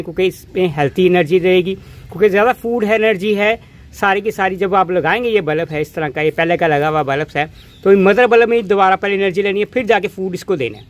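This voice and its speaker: High-voiced man teaching rooftop gardening. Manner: explains step by step, pointing at pots and bulbs; repeats phrases, many restarts and filler words. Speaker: home gardening channel host. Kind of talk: hands-on gardening demonstration. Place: rooftop garden among potted flowers, outdoors. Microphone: camera phone held close, narrator off screen.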